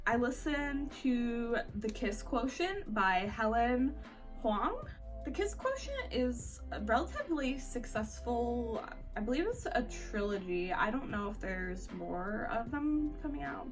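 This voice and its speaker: A woman's voice: on the high side, expressive, measured pace. A woman reviewing books in a casual, informal, conversational vlog style with lots of filler words. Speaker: book reviewer vlogger. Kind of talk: casual chatty monthly reading wrap-up. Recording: distant mic with music behind. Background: background music.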